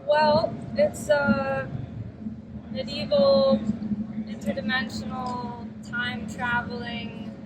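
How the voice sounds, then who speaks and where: Woman band member from New York City, playful and slightly high, recorded outdoors under very loud festival noise. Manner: playful, drawn-out storytelling. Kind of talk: casual festival interview. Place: outdoor music festival grounds.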